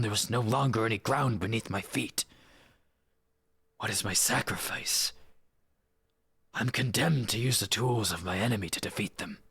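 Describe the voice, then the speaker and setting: Casting: male actor as world-weary rebel leader, low gravelly rasp, intense and bitter screen-drama monologue. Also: pushed and bright, no echo, clean studio sound, heavily acted. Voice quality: raspy. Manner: intense, dramatic, deliberate delivery. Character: weary, bitter rebel who sacrificed everything for the cause.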